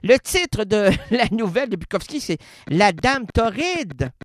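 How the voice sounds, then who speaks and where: Rambling, animated Québécois man. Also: sits high, a little slow, loud music behind the voice. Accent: Québécois French. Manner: playful, rambling, swings between loud and quiet. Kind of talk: rambling comic radio monologue.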